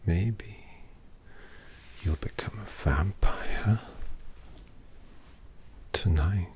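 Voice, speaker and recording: A man voicing a sardonic old male vampire painter who drawls intimately in a gravelly whisper, as an ASMR roleplay. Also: sits low, very slow, a little mellow. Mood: snide whisper.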